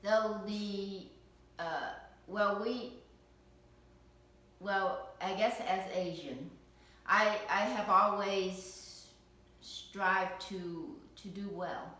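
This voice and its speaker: A woman's voice: mid-range voice, fairly even intonation, extremely slow, pushed and bright. A woman from Vietnam being interviewed as a parent, speaking hesitantly with many fillers and restarts. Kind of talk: casual recorded interview. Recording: echoey room, distant mic.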